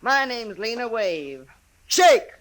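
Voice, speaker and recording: A woman's voice: a little high, sing-song, takes it slowly. An actress playing a big, tough, ornery frontier woman in a 1950s radio western, with an American accent and a blunt, domineering manner. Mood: hearty, bossy. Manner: loud, domineering, blunt, rustic speech.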